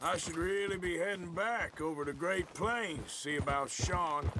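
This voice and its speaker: An actor playing a gruff, grudging American outlaw gunslinger in a video game, terse and blunt with a drawl. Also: male voice, fairly high pitch, measured pace, background noise, close to an everyday voice. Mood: resolute. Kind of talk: dramatic video game acting. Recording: loud outdoor game sound effects behind.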